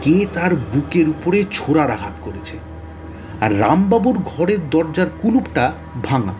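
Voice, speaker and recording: An actor playing a talkative, excitable middle-aged police detective in an audio drama, blustery, often stammering and repeating words. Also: male voice, on the high side. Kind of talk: Bengali audio story acting.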